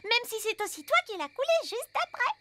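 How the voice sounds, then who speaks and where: A woman's voice: very high-pitched, very animated, fairly quick, heavy background noise. A woman voicing an earnest little fairy princess in a cartoon dub, childlike and direct.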